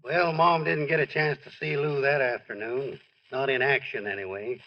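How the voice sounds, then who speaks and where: A man's voice: medium pitch, fairly even intonation, normal speed, lightly acted. Folksy radio narrator, slightly distant man acting. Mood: wry, storytelling. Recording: dull, distant vintage radio recording.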